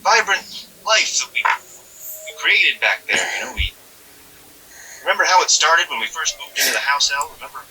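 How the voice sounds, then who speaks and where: An actor playing an alien thing posing as a dead husband, coaxing in a halting, folksy, rambling way that repeats itself, in a horror drama. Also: male voice, fairly high pitch, lively intonation, bright, forceful tone, husky, far from the mic, heavily acted, rough recording. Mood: folksy, wistful.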